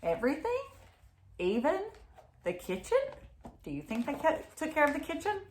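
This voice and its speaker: A woman's voice: high voice, huge pitch swings, normal speed, echoey room. A woman reading a children's picture book aloud, expressively, with exclamations and chatty questions to her listeners. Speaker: read-aloud storyteller.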